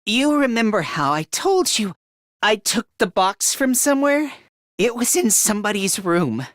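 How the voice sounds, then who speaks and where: A man voicing a timid, self-deprecating yet excitable teenage boy in a video game, with a hesitant, stammering delivery and theatrical anime-style acting. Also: sits high, measured pace, dry recording, studio quality, full character voice. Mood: hesitant, earnest. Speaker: voice actor.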